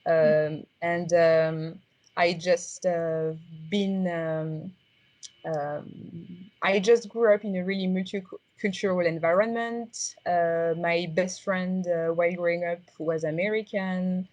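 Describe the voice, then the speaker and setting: A woman with a strong French accent talks through her answers in a somewhat low voice, stopping often with ums and uhs as she searches for words. The sound is dry and close, with no room echo. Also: fairly even intonation, darkish tone. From France. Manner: halting, many fillers and restarts. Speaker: interviewee. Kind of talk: reflective conversational interview.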